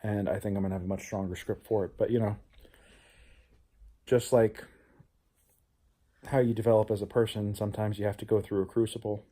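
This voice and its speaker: A man's voice: lowish voice, flat delivery, a little fast, pauses often, dark tone. A man talking reflectively in a personal vlog as a playwright, earnest and introspective. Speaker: playwright, show host.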